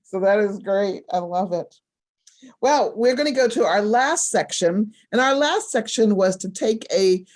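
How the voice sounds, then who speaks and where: A woman's voice: mid-range voice, darkish tone. A woman hosting a storytelling event in a casual, effusive and appreciative way. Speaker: host of a storytelling showcase.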